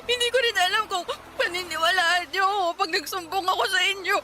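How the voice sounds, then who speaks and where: A woman's voice: extremely high pitch, a little fast, sharp tone, slight background noise. A woman playing a hurt, defiant young runaway daughter in a radio drama, tearful and accusing. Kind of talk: Tagalog radio drama acting.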